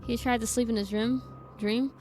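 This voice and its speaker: A woman's voice: a little high, fairly even intonation, normal speed, over music. A woman game streamer giving casual live commentary over gameplay.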